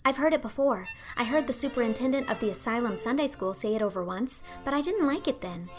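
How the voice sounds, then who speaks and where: Woman playing a high-voiced, dreamy, talkative orphan girl. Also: expressive, fast, music in the background, lightly acted. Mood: rapt. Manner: earnest, effusive, rambling. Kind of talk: audiobook character acting.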